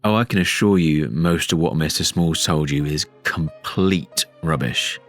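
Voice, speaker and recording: A man's voice: extremely low pitch, measured pace, a bit bright, faint music behind. A man playing a haughty, dismissive tall storybook character in an audio drama, scornful and mocking.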